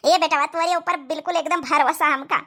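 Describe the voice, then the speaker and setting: A woman's voice: high voice, steady tone, fast talker, fairly bright tone. A woman voicing a doting, practical village mother in a cartoon comedy, speaking coaxingly with an Awadhi accent. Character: doting middle-aged widowed village mother, practical, hospitable.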